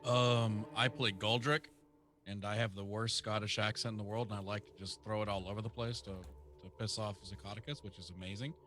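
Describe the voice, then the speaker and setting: A man introducing his tabletop character with halting jokes. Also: lowish voice, fairly even intonation, fairly bright tone, slight background noise. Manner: joking, halting. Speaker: player. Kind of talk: casual tabletop chat.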